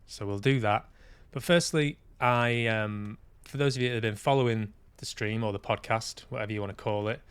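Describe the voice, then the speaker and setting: A man's voice: lowish voice, fairly even intonation, normal speed, clear, resonant tone, no room sound. Rambling English man hosting a stream. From Manchester, England. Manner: rambling, self-deprecating, dry humour. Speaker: podcast host and streamer. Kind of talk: casual livestream chat.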